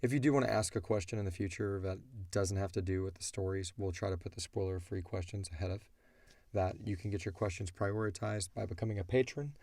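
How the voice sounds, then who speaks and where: A man's voice: lowish voice, brisk, darkish tone, no echo. A middle-aged man hosting a casual question-and-answer chat as the creator of a show. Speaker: audio drama creator and show host.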